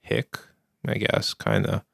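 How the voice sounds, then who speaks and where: A young man from Canada chatting casually on a podcast, hesitant and full of fillers, with a monotone delivery. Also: takes it slowly, no echo, studio quality. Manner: hesitant, filler-heavy, self-described monotone. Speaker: podcast co-host. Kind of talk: casual chat.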